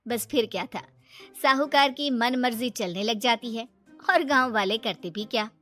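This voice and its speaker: A woman's voice: fairly high pitch, normal speed, bright, forceful tone, faint music behind. A woman narrating a scripted moral story for children.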